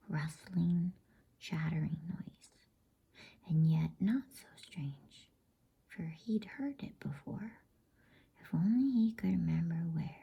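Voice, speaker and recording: A woman reading a novel aloud as a bedtime story in a hushed, unvoiced ASMR whisper. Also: low-pitched, gravelly, slightly rough.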